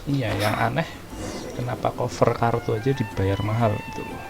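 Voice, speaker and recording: A man's voice: medium pitch, steady tone, fairly quick, loud music behind the voice. An Indonesian-accented man giving casual gameplay commentary as a streamer, musing and repeating his words. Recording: clear background noise, clicks.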